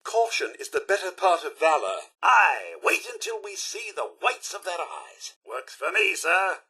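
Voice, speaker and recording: A man voicing a fussy, gentlemanly robot butler with a posh British accent and an exclamatory manner, in video game voice lines. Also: sits high, sing-song, unhurried, husky, exaggerated voice. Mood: polite, attentive. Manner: posh, theatrical, polite, exclamatory. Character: eager, fussy, gentlemanly robot butler, male, itching to fight.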